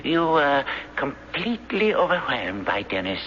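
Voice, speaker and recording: Hunted eccentric, bright, urgent voice with wide swings, slyly persuasive, old-time radio drama. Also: male voice, medium pitch, very animated. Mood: grateful, probing. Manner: theatrical, halting, insistent. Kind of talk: 1970s radio drama acting. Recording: dull, noisy old radio broadcast.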